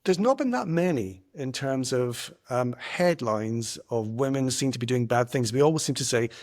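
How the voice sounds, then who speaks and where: A man's voice: medium pitch, lively intonation, moderate pace, darkish tone. A man hosting a podcast from his front room, chatty and jokey. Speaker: podcast host. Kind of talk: casual podcast chat. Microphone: single earpiece, home setup.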